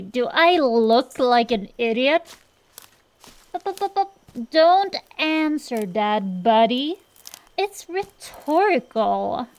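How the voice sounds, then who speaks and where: Casting: female performer as a young-sounding fantasy dragon girl, high pitch, unhurried delivery, cocky tomboy scamp, defensive banter, ASMR roleplay. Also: a little mellow, exaggerated voice. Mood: indignant, blustering. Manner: blustering, bantering, hesitant excuses full of drawn-out pauses. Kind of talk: ASMR roleplay, one-sided comedic dialogue.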